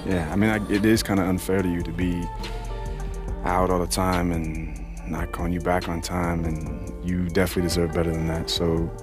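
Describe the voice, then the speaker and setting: A subdued young American basketball player acted by a man in a video game cutscene. He has a low voice and a halting, apologetic manner, with clear music underneath. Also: little pitch movement, natural voice. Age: young adult.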